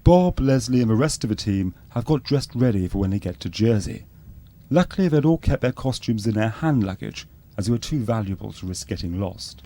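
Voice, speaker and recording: Male documentary narrator, low mellow voice, deadpan and earnest, lively intonation. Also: brisk. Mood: matter-of-fact. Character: earnest docu-soap narrator, matter-of-fact.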